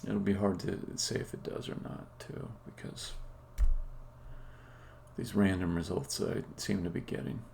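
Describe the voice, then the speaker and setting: A man live-streaming as a game developer, thinking aloud in a halting, muttering way as he codes. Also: low voice, little pitch movement, vocal fry, a little mellow. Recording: faint background noise. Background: computer keyboard typing and clicking.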